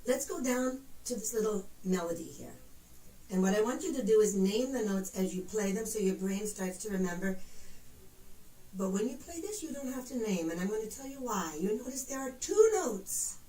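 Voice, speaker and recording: A woman's voice: medium pitch, expressive, normal speed, mellow. A woman teaching a piano lesson, explaining notes in an encouraging, patient manner. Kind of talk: instructional music lesson, demonstrating and counting notes. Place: indoors at a piano, room echo. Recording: roomy, distant mic, faint piano.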